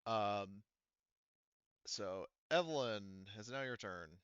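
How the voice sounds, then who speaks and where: A man's voice: on the low side, lively intonation, slow, fairly bright tone, clean tone, no echo, highs cut off. A man speaking as game master, narrating and explaining rules in an informal, joking way. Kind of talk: casual tabletop roleplaying game narration.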